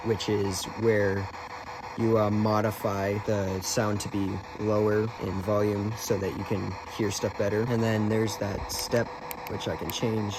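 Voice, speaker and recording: Guy doing a casual gadget walkthrough, kinda flat gravelly voice, bit halting, faint noise behind him. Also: lowish voice. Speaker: video host, radio hobbyist. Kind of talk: casual product demonstration and explainer.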